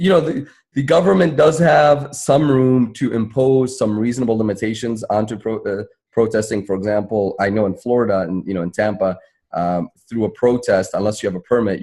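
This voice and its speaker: A man's voice: fairly low pitch, fairly quick, darkish tone. A man, a lawyer on a panel, explaining legal points in a conversational way. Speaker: panelist, civil rights lawyer.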